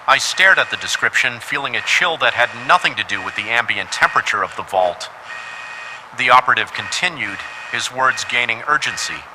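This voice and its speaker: A man's voice: mid-range voice, animated, fairly quick, background noise. A man reading a scripted first-person horror story as its narrator.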